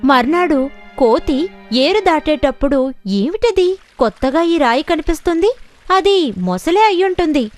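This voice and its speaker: A woman narrating a scripted children's story as an expressive storyteller. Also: sits high, a little slow, faint music behind.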